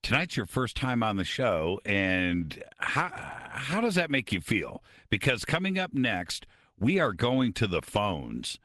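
A man's voice: fairly low pitch, wildly swooping pitch, measured pace, sharp tone, no echo. A man hosting a late-night radio talk show, chatty and upbeat as he moves to the calls.

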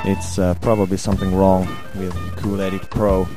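A young Swedish man with a Swedish accent, hungover, rambling crude thoughts into a home mic in a hesitant, deadpan way. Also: low voice, moderate pace. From Sweden. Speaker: amateur audio ranter. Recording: home recording with buzz and music.

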